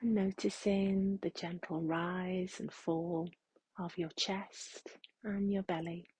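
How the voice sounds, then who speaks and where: A woman leading a guided meditation in a soft, hushed, breathy voice, gently and with long unhurried pauses. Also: fairly low pitch, steady tone, slight background noise.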